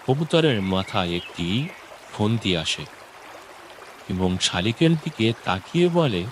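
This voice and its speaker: A man reads a scripted children's story as narrator. His voice is low and his delivery unhurried. Clear watery noise runs behind him. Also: very animated.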